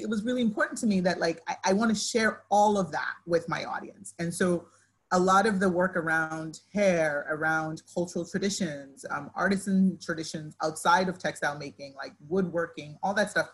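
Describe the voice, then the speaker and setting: A woman in her thirties from Nigeria talks about her work over a video call, her voice a little low and husky. She restarts often and gestures as she speaks. The sound comes through a laptop webcam in a living room. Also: normal speed. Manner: animated, talks with her hands, many likes and restarts. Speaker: fashion designer guest. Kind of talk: casual panel conversation.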